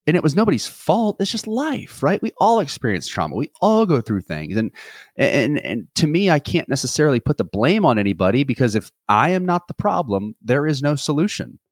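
A man's voice: medium pitch, big pitch swings, normal speed, no echo, clean studio sound. A man in his twenties talking through a reflective solo podcast, earnest and rambling, with many restarts and fillers. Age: 20s. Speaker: podcast host.

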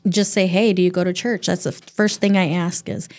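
A woman's voice: fairly low pitch, flat delivery, fairly quick, a little mellow. A woman in her forties speaking simply and directly on a church podcast. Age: 40s. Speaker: church member, podcast co-host. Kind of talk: casual podcast chat.

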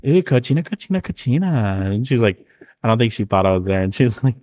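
A man's voice: mid-range voice, big pitch swings, fast talker, a little mellow. A young man hosting a podcast, chatting casually with a friend, joking around and laughing often. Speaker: podcast host.

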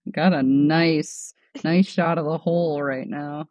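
A woman's voice: deep voice, fairly even intonation, slow, a little mellow, dry recording, dull audio. A woman co-hosting a casual chat podcast, laid-back and drawling, asking her co-host questions.

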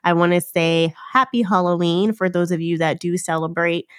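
A woman's voice: fairly low pitch, steady tone, moderate pace, no echo, clean studio sound. Nasal-sounding woman with a cold. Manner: chatty and upbeat, fillers and self-corrections. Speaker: podcast host. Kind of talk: casual solo podcast talk.

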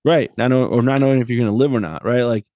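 A man's voice: medium pitch, fast talker, dark tone, dry recording. A man in a casual sports discussion, co-hosting as a coach and often checking in for agreement. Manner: conversational, often seeks agreement with tag questions. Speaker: podcast host and soccer coach.

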